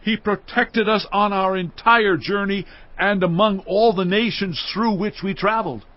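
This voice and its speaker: Slow, high-voiced, fervent Israelite. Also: male voice, steady tone, no echo, highs cut off. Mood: fervent, resolute. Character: the people of Israel, fervently pledging loyalty in answer. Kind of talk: dramatized audio Bible acting.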